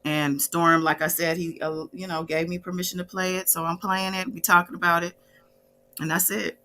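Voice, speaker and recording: Bright, lowish-voiced woman hosting. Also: little pitch movement, normal speed. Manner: conversational, addresses audience directly. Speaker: host of online commentary show. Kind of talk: casual livestream chat.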